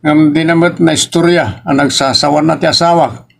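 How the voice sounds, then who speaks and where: A man's voice: fairly high pitch, fairly even intonation, moderate pace, creaky. A man with an Ilocos accent playing an aggrieved married son who questions his parents insistently in a drama. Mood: troubled. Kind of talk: Ilocano audio drama acting.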